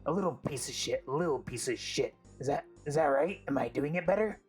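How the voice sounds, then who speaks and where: An actor voicing an eager, dim male goblin phoning a comedy show, strained and stuffy, halting and repeating himself. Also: medium pitch, lively intonation, soft background music, lightly acted. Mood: eager, insecure. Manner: halting, repetitive, seeking approval. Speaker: caller.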